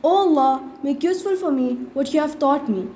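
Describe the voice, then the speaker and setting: High-pitched teenage girl reciting prayers. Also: mellow. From India. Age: teenager, ninth grade. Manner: measured, phrase-by-phrase recitation. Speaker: student reciter. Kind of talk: prayer recitation with English translation. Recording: faint background noise, school intercom assembly.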